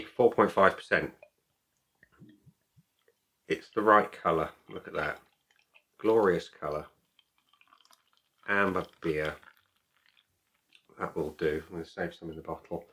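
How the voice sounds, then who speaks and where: A man's voice: deep voice, fairly bright tone. A man talking casually to camera as a beer reviewer, chatty, opinionated and emphatic. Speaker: beer reviewer and video host.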